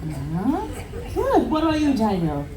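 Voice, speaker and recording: A woman hosts a game show on a cruise ship stage, brisk and upbeat as she calls on players and the audience. Her pitch is lively and a touch high. She sounds distant in an echoey theater with a crowd murmuring behind her. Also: slight background noise. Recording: echoey theater picked up from far off. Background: audience chatter and applause.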